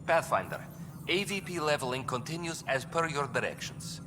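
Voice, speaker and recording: A man playing a dry, officious bureaucrat in a video game, speaking formally with a deadpan, wry delivery. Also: on the high side, moderate pace, background noise, natural voice. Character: dry, officious male bureaucrat managing cryo deployments. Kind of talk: video game character dialogue. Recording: reverberant hall, clear background ambience.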